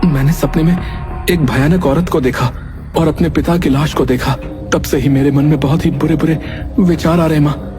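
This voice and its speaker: An actor playing an earnest, anxious young married son with halting, dramatic delivery in a horror cartoon. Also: male voice, on the high side, steady tone, close to an everyday voice. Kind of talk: Hindi horror cartoon acting. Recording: loud music behind the voice.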